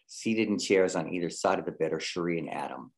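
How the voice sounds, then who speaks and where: Casting: male narrator with a very deep register for screenplay directions. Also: a little fast. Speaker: reader of stage directions.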